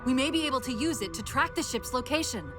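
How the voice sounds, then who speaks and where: A woman playing a quick-witted female AI companion in a video game, articulate and brisk, with dry wit. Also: sits high, animated, over music. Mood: urgent. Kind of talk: video game cutscene voice acting.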